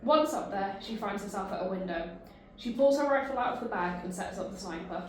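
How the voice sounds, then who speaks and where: A woman's voice: medium pitch, lively intonation, a little fast, heavy reverb, crowd noise. A woman reading narration and stage directions at a table read.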